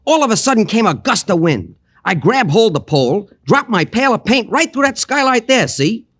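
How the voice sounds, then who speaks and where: An actor playing a boastful, excitable American working man with self-important, colloquial talk in an old radio drama. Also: sits high, moderate pace, full character voice. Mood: excited, self-important. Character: cocky, excitable male flagpole painter, self-important braggart. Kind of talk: 1940s-50s radio drama character acting.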